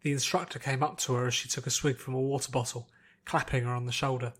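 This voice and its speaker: A man reads fantasy fiction aloud as a narrator. His mid-pitched voice carries a scripted audiobook delivery. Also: level tone, fast talker, fairly bright tone.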